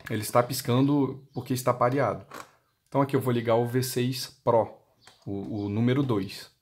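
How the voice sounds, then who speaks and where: A man's voice: medium pitch, fairly even intonation, moderate pace. Brazilian man giving a step-by-step tutorial. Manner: explanatory, asks and answers own questions. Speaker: product demonstrator for motorcycle intercom seller.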